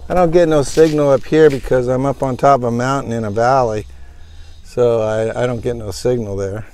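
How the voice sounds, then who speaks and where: A man's voice: medium pitch, fairly even intonation, darkish tone. A man casually walking through how he fitted gear into his pickup and camper, talking plainly with lots of fillers. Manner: plain, unhurried, homespun phrasing with fillers. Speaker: vlogger showing his truck camper. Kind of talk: casual show-and-tell walkthrough. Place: in and around a pickup truck.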